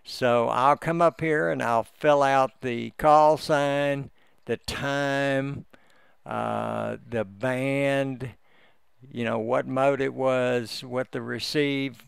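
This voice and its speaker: A man giving an unscripted, step-by-step screen-share tutorial as a hobbyist, in a halting, explanatory manner. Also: medium pitch, creaky, clear, resonant tone, no room sound, clean studio sound. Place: indoors, close dry voice-over for a screen recording.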